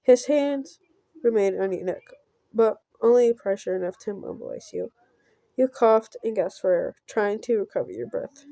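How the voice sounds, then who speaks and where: A woman doing a casual let's play, reading a visual novel aloud haltingly and breaking off for offhand reactions. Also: medium pitch, sing-song, warm tone, dry recording.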